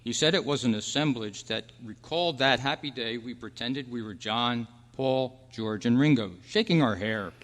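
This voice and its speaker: A man's voice: medium pitch, moderate pace, hardly any pauses, a bit bright, natural voice. A laid-back young man with groovy sixties slang played by a male actor talks casually in an old-time radio play. His mid-range voice carries the long reverb of a hall. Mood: breezy, nostalgic. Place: convention hall, live performance. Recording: reverberant hall stage recording.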